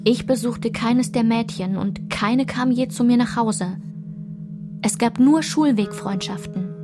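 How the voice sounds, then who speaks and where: A woman's voice: fairly high pitch, a little slow, lightly acted. A woman playing a solitary, observant narrator who recalls her schoolgirl self in precise, composed literary radio-play narration. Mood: reflective. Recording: clear music behind the voice.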